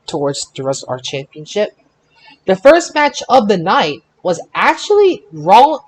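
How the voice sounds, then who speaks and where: A man hosting a casual panel podcast about wrestling results, speaking in an emphatic, excitable way. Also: fairly high pitch, poor audio. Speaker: podcast host.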